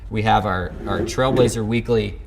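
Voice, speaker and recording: A man hosting a sports show, speaking in a somewhat halting way with repeated words. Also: lowish voice, gravelly, a little hiss or hum. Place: studio set.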